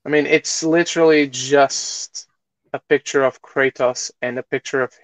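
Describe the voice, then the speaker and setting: A man's voice: mid-range voice, steady tone, moderate pace, no room sound, poor audio. A man hosting a podcast in a casual, conversational chat style. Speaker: podcast host.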